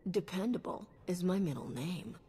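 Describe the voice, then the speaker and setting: An actress playing a cool, confident sci-fi hero in a video game, with a dry, self-assured delivery. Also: female voice, lowish voice, lively intonation, moderate pace, dark tone, breathy edge, long reverb tail. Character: cool, confident female space hero, dryly wry. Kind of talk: video game cutscene acting.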